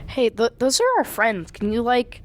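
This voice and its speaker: Higher-voiced woman playing a tabletop game. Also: very animated, moderate pace. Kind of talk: casual improvised game play.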